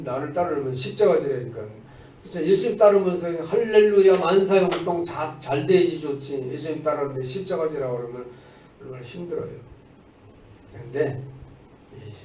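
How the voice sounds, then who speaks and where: A male pastor delivers a church sermon in a fairly high voice. He exhorts the congregation, moving between firm proclamation and plain conversational asides. The sound is echoey and picked up a little away from the microphone. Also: darkish tone, slight background noise. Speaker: pastor preaching. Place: indoors at a church service. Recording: echoey church room, distant mic.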